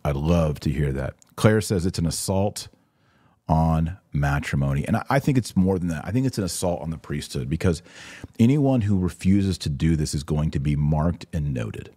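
Deep-voiced man giving emphatic live commentary. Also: lively intonation, moderate pace, mellow, slightly rough. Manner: opinionated, emphatic, repeats phrases for emphasis. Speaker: podcast host and religious commentator.

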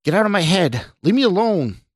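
A man's voice: medium pitch, expressive, a little slow, a little mellow, no room sound, clean studio sound, natural voice. A man voicing a distraught, panicking man who is losing his mind, agitated and pleading, in an audiobook. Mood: angry confusion. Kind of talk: audiobook character voice.

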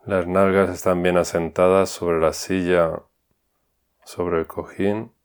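Slow, very deep-voiced male meditation guide. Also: clear, resonant tone. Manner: calm, unhurried instructions with long pauses. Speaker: meditation instructor.

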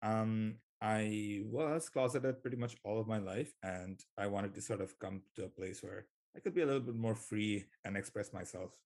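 A man's voice: fairly low pitch, moderate pace, hardly any pauses, a little mellow. A young man from Bangladesh, a graduate student, talking in a thoughtful, hesitant way on a podcast. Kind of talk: conversational podcast talk.

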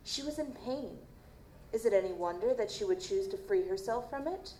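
A woman playing a guarded, formal chief medical examiner in an audio drama, measured and dismissive. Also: mid-range voice, animated, mellow, natural voice. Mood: measured, evasive. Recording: roomy, noisy live stage recording.

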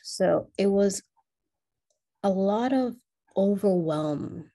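A young woman from Kenya with a slightly Americanized Kenyan English accent, speaking in a measured, reflective way as a guest in an interview. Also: medium pitch, lively intonation, warm tone. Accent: Kenyan English, partly Americanized. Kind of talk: personal interview.

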